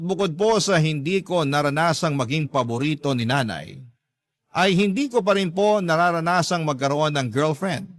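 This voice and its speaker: A man playing a long-suffering young man who narrates his own story in a measured, confiding way for a radio drama. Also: fairly high pitch, dry recording, natural voice. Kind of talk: scripted first-person narration for radio drama.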